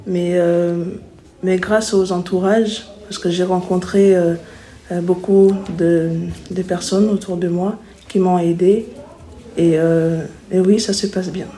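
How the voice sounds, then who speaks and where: Woman, twenties, from Congo, low pitch, very soft dark tone, hesitant interview answers. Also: steady tone, low-quality recording. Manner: hesitant, halting. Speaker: interviewee, sociology student. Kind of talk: personal interview account.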